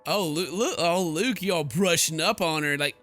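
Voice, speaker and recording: A man streaming a game, giving animated, jokey commentary and reading the story narration aloud. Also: a little high, moderate pace, faint music behind. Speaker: gaming streamer.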